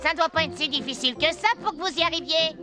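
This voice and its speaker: A woman's voice: high-pitched, quick pace, music in the background, natural voice. A woman voicing a boastful, scheming talking cat in a French cartoon dub, with a grandiose, self-assured manner. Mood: haughty.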